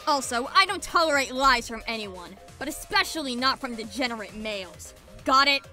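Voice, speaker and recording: Stern, scornful schoolgirl played by a woman, sharp tone, slightly high, anime dub. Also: expressive, normal speed, soft background music. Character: stern, haughty man-hating schoolgirl laying down rules. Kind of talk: anime fan dub acting.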